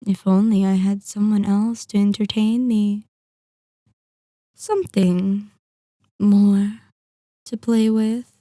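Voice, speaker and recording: A woman voicing an elegant, possessive and sadistic vampire in an intimate ASMR roleplay, speaking deliberately with teasing, condescending endearments. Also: medium pitch, steady tone, mellow, dry recording, studio quality, close to an everyday voice. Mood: seductive, possessive.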